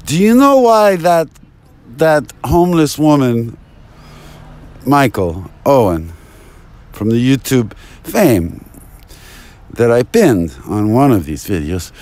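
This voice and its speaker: Male, slow and theatrical, taunting delivery with big pitch swings, rambling mock phone-call monologue. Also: very animated, a little mellow. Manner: theatrical, taunting, with drawn-out words and repetitions.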